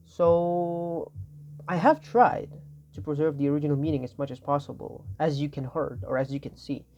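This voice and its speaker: Tired raspy young Indonesian man. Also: a little high, normal speed, a little mellow, muffled recording, a little hiss or hum. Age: young adult. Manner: tired, rambling, self-correcting. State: raspy from no sleep. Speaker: student. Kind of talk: informal tutorial explanation.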